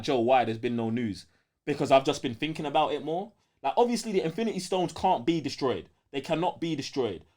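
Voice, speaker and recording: A lively male podcast host with a London accent. His voice sits a little high and swings freely in pitch. He chats casually and leans on slang. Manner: slangy, animated. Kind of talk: casual live podcast banter.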